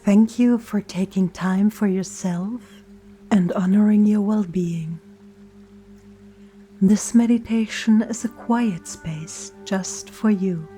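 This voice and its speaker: A woman leading a gentle scripted guided meditation in a soft, soothing, often breathy near-whisper, with deliberate pauses. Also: medium pitch. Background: soft meditation music and singing bowl tones.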